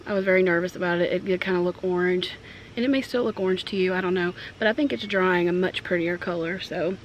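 A woman's voice: on the low side, fast. Casual woman vlogger with noisy outdoor background. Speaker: home makeover vlogger. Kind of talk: casual chat to camera. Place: outdoors on a porch and wooden ramp. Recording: clear background noise, outdoor ambience.

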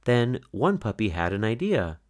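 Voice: mid-range voice, sing-song, normal speed, a little mellow. A narrator reading a children's picture book aloud in a scripted storytelling style.

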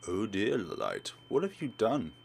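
A man's voice: on the low side, huge pitch swings, unhurried, creaky, slight background noise. A man hosting a let's play, reading game text aloud and commentating with wry, theatrical asides. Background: game music.

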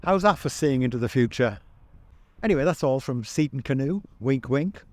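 A man's voice: medium pitch, animated, moderate pace, a little mellow, no echo. A man in his fifties presenting a scripted video outdoors, with a dry, wry manner. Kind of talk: scripted narration to camera, wry. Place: outdoors on seaside town streets.

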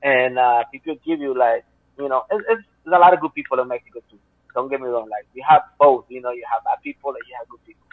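A man's voice: medium pitch, fast talker, no room sound. A man in his thirties from Central America, with a Spanish accent, telling his own story as an interview guest in an earnest, rambling way full of fillers. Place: home room. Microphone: video call. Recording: tinny phone-like call line.

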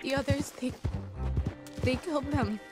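Shaken young girl, stammers slow, highish voice, horse hooves and music behind. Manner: stammering, halting, shaky. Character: traumatized young woman, shaken survivor.

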